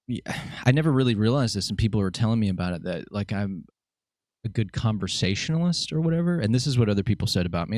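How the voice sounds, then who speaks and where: Male podcast host, chatty and jokey, lowish voice, dry close sound. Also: darkish tone, no echo. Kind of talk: casual interview chat.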